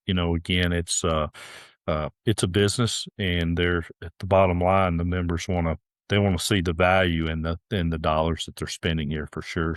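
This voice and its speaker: A man's voice: deep voice, dry recording, studio quality. A middle-aged man talking earnestly as a golf course director in a podcast interview, often restarting his sentences.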